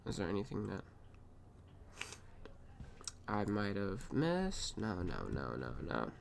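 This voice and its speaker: A man's voice: fairly low pitch, huge pitch swings, takes it slowly, vocal fry, a little hiss or hum. A man with a sniffly nose, reviewing his own trades aloud in a casual, profane recap, often muttering to himself.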